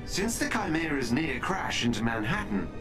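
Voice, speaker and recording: Courteous, lilting AI butler. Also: male voice, a little high, very animated, moderate pace. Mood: polite concern. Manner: formal, precise, polite. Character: courteous, formal male AI butler assistant. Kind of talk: cinematic video game acting. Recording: music under the voice.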